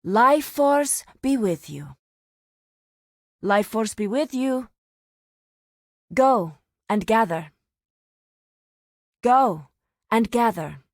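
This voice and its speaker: A woman playing a solemn, zealous nature priestess in a fantasy video game, speaking in a formal, deliberate, theatrical way. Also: on the high side, lively intonation, no room sound, clean studio sound, heavily acted. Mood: calm, formal welcome.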